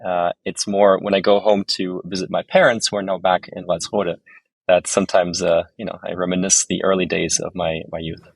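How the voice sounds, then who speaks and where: A man in his thirties speaks in a very low voice with some gravel in it. He answers interview questions in a measured, articulate way, with careful phrasing. The sound is dry, with little room on it. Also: level tone, no room sound, rough recording. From Germany, raised abroad in several countries. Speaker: podcast guest, startup founder.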